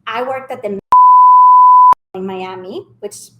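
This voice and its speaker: A woman's voice: medium pitch, slow, very noisy. A middle-aged woman from Ecuador with an Ecuadorian Spanish accent, telling her career story and often checking in with "right?". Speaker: hotel sales director and mentor. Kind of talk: casual mentoring interview. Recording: echoey room with faint ambience.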